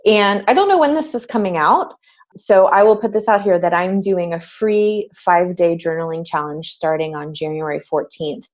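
Woman, lower voice, podcast guest and coach; reflective, warm. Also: moderate pace. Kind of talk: interview conversation.

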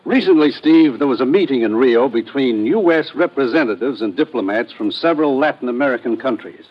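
An actor playing a brisk, authoritative government commissioner in an old 1950s radio drama. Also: male voice, medium pitch, lively intonation, lightly acted. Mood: businesslike. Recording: muffled old radio recording.

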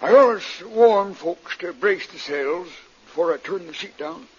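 A man's voice: sits high, expressive, unhurried, breathy edge, heavily acted. A man voicing a doddering, nosy old morgue attendant who rambles in a folksy, dim-witted way, in an old-time radio drama. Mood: nosy, innocent. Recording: dull vintage radio drama recording.

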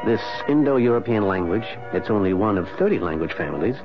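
Insistent, scholarly husband played by a male actor, lowish expressive voice, emphatic and halting, vintage radio drama. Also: over music, close to an everyday voice. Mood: eager. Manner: emphatic, repeats himself, halting. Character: Spencer, anguished, insistent husband with scholarly dreams. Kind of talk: 1970s radio drama acting. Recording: muffled vintage radio broadcast.

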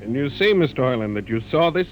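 An actor playing an authoritative ship's captain in a classic radio drama, formal, judicious and deliberate. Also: male voice, mid-range voice, lively intonation, loud music behind the voice, natural voice, low-quality recording.

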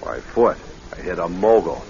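Animated low-voiced genial gentleman, muffled radio. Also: normal speed. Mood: genial, interested. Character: genial, chatty silver-haired gentleman investment broker. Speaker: actor in a radio drama. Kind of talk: classic radio drama acting. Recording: dull old radio, busy crowd behind. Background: crowded dining room chatter.

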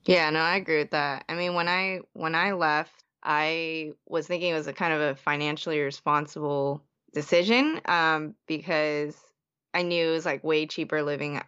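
A woman's voice: low-pitched, steady tone, moderate pace, a bit bright, clear, resonant tone, muffled recording. A young woman from the Bay Area, a podcast guest chatting casually and saying "like" a lot. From San Francisco Bay Area. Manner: chatty, heavy use of "like", frequent self-restarts. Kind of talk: casual interview.

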